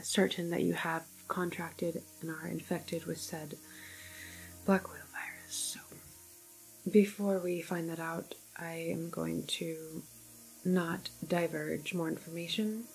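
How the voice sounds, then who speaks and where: Woman playing a slow, whispering calm doctor. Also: lowish voice, low-quality recording. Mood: clinical, careful. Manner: hesitant, reassuring, slow and halting. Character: calm, clinical female doctor examining a quarantined patient. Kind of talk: soft-spoken ASMR roleplay, often whispered.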